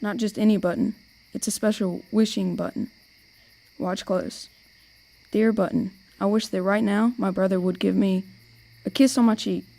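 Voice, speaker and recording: A woman's voice: medium pitch, steady tone, moderate pace, gravelly, mellow. A young woman acting a playful, bossy and protective older sister in an amateur radio play. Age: teenager or young adult.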